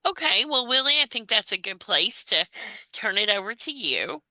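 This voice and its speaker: A woman interviewing in a casual conversational style, speaking in a halting, deliberate way. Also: a little high, big pitch swings, bright, forceful tone, no room sound.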